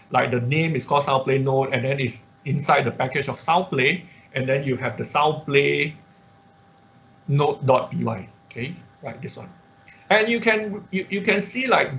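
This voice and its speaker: A man's voice: mid-range voice, a bit bright. A man giving an informal step-by-step tutorial as an online class instructor, often checking in with short tag words. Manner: step-by-step explaining with frequent check words like okay and right. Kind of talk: informal screen-share tutorial. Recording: dull, lo-fi online-class audio.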